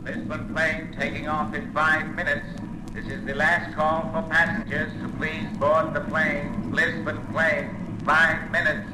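A man's voice: fairly high pitch, level tone, a little slow, close to an everyday voice. A man playing an impersonal airport announcer giving a public-address call in an old radio drama. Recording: echoing, very noisy old radio recording.

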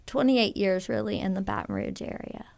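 A woman's voice: medium pitch, wildly swooping pitch, normal speed, vocal fry, darkish tone. A woman in her fifties from Louisiana, a fiber artist chatting in a radio interview, rambling through stories with restarts and small laughs.

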